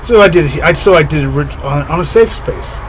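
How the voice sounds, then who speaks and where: A man talking slowly and dreamily to the camera, giggling softly and trailing off, his voice slightly hoarse. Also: on the high side, animated, a little hiss or hum. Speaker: spiritual vlogger. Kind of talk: reflective solo monologue.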